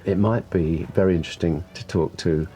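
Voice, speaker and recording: An older man, an actor, talking casually in an interview in a halting, self-interrupting way. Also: deep voice, fairly even intonation, warm tone. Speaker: actor interviewed behind the scenes. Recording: music behind the voice.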